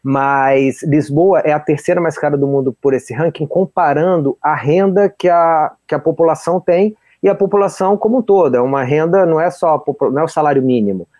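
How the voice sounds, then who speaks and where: Mellow man explaining calmly. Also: on the high side, no echo, muffled recording. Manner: explanatory, measured. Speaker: co-host. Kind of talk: casual podcast chat.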